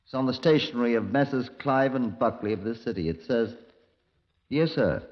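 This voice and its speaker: An actor playing a brisk, commanding British chief inspector in a 1950s radio drama, middle-aged, clipped and authoritative. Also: male voice, medium pitch, lightly acted. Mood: stern, official. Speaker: detective narrator. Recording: dull, lo-fi old radio recording.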